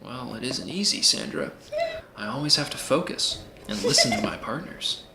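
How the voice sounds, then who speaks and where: An actor playing a smug, flirtatious, evasive presidential candidate in a comedic audio drama interview, speaking in glib repeated slogans. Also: male voice, mid-range voice, big pitch swings, normal speed, creaky. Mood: flirty, evasive. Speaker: politician being interviewed. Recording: roomy echo, faint background noise.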